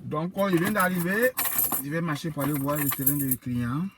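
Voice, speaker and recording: A man's voice: on the high side, fairly even intonation, moderate pace, background noise. A man working as a real estate agent, giving a casual outdoor tour of land plots, with lots of hesitations and restarts. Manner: hesitant, full of fillers and restarts. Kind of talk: casual walking video tour.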